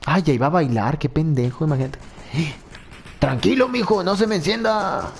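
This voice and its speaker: A man's voice: animated, normal speed, creaky, a little hiss or hum. A young Mexican man with a Mexican Spanish accent, streaming a game live and chatting playfully as he reads comments. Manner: playful, chatty, laughs often, jumps into high squeals. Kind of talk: live-stream gameplay chat reading comments.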